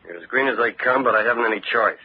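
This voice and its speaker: An actor playing a gruff, blunt working-class crew boss in an old radio drama, with a husky voice and slangy talk. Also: male voice, medium pitch, fast. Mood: gruff, wary. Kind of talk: 1940s radio acting. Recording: muffled old radio recording.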